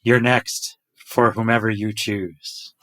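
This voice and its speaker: A man who works as a polar expedition diver, chatting casually with colleagues in an excitable, animated way. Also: takes it slowly. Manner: excitable, animated, jumps up in pitch when enthusiastic. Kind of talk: casual group chat.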